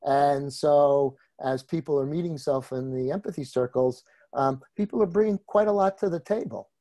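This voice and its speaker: A man with a New York accent, a trainer speaking in a group discussion, pausing often with fillers. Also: fairly high pitch, warm tone. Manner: hesitant, many filler pauses. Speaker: empathy circle trainer.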